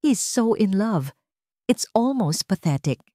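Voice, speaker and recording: A woman's voice: medium pitch, sing-song, slow pace, warm tone, no echo, pitched above the performer's own voice. A woman narrator acts out a greedy young Filipina fiancée in a romance audio story. She gloats and mocks under a sugary surface. The sound is close and very dry. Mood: smug, excited. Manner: gloating, sugary when deceiving. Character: greedy, scheming young gold-digger fiancée, smug and mocking.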